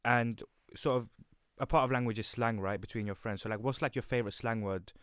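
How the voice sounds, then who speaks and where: Fast-talking young male podcast co-host. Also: mid-range voice, steady tone, fairly bright tone, no echo, clean studio sound. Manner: joking, quick interjections. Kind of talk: casual podcast chat.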